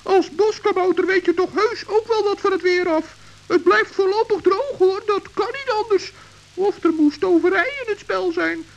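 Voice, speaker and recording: Tiny male forest gnome played by a woman: extremely high voice, anxious yet plucky, for children's radio drama, on a dull tape. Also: moderate pace. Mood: dismissive. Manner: exclaiming, stammering when nervous. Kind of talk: children's radio play acting. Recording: dull, hissy old radio recording.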